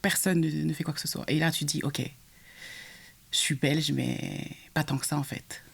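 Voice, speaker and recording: A young woman from Congo recounting her experiences in a podcast conversation, animated and full of hesitations and restarts. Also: low-pitched, moderate pace, vocal fry, breathy edge. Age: young adult. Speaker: guest sharing her experience.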